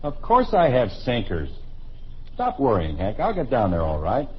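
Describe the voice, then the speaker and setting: A man's voice: mid-range voice, huge pitch swings, moderate pace. An American man playing a good-natured, easily exasperated suburban father in a 1950s radio sitcom, on a muffled, noisy old recording. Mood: confident, testy.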